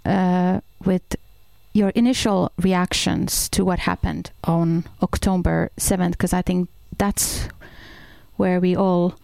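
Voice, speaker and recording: A woman hosting an interview, asking steady, guiding questions. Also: fairly low pitch, slow, gravelly, no room sound. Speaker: host.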